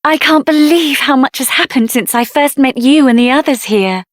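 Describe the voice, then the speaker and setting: An actress voicing a spirited, headstrong young woman adventurer in a video game, expressive and earnest, with a tender side. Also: high-pitched, moderate pace, no echo, studio quality, lightly acted. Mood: tender, happy.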